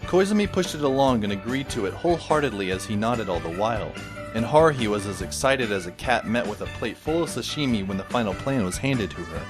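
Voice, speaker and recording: Guy narrating a story, dry sarcastic tone, lively delivery, music playing under. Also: fairly low pitch, fairly quick. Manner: dry, sardonic. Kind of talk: first-person audio drama narration.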